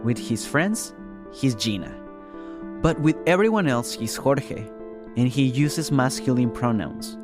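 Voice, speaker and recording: A man's voice: mid-range voice, expressive, measured pace. A man hosting and reporting a podcast, reading scripted first-person narration. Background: music.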